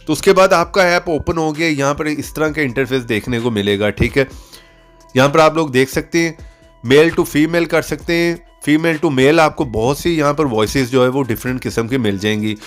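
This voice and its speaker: A man's voice: on the high side, moderate pace. A man giving a casual step-by-step app tutorial voice-over, often checking in as he instructs. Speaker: tutorial channel host. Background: background music.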